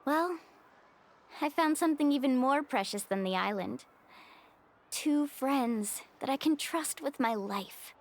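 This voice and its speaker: An actress playing an upbeat, loyal young woman guide in a video game, speaking in a bright, sincere way. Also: high-pitched, expressive, measured pace. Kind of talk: game voice acting.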